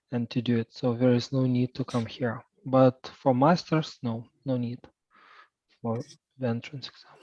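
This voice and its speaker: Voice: medium pitch, darkish tone, no echo. University rep answering questions, lots of ums, dry muffled audio. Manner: patient and helpful, with many hesitations. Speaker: university admissions representative.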